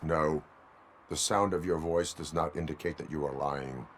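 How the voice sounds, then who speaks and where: An actor playing a stoic middle-aged cyborg police officer in a video game, speaking in stiff, formal, terse lines with a deadpan delivery. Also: male voice, very deep voice, normal speed, slight background noise. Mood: stoic, stern. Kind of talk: video game cutscene acting.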